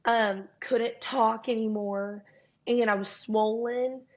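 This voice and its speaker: Young woman, raspy voice, slightly high pitch, casual interview guest, chatty storytelling. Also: steady tone, takes it slowly. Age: young adult. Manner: chatty, lots of "like" and "um" fillers. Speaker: guest singer-songwriter.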